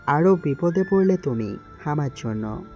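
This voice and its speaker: Calm, dark-toned male gang boss played by an actor. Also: a little high, a little slow, faint music behind. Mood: calm, fatalistic. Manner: calm, cryptic.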